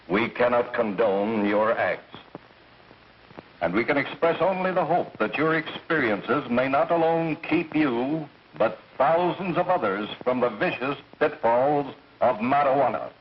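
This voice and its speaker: An American man acting a stern, solemn courtroom judge in a 1930s film, speaking in formal, measured oratory. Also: medium pitch, natural voice. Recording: muffled, noisy 1930s film soundtrack.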